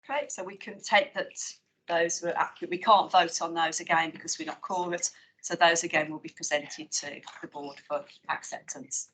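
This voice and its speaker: A woman with a West Midlands English accent chairs a formal committee meeting. Her voice is bright and fairly low for a woman. She moves through agenda items in a measured, procedural way, with frequent pauses and fillers. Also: fairly even intonation, slight background noise, rough recording.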